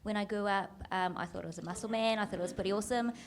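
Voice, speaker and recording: Gravelly-voiced woman interpreter, noisy room. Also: medium pitch, steady tone, racing. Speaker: sign language interpreter voicing a Deaf male panellist. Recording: noisy, distant from the mic.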